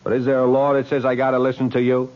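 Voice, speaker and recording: An actor playing a brash, hot-tempered young American street tough, slangy and blunt, in an old radio drama. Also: male voice, medium pitch, completely flat delivery, fast, natural voice. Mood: defiant. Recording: noisy, dull old radio recording.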